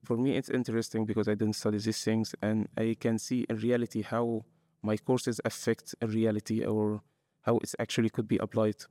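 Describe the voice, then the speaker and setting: A man's voice: on the low side, level tone, fairly quick, dark tone, no room sound, studio quality. A young man from Egypt, a master's student guest earnestly explaining his choices step by step in a podcast interview. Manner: earnest, explains step by step in non-native English.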